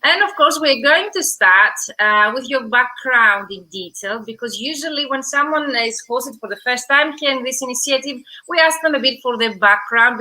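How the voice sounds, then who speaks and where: A woman's voice: fairly high pitch, sharp tone, rough recording. A woman hosting a live stream over a video call, greeting viewers by name and asking her guest questions, with frequent fillers. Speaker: host.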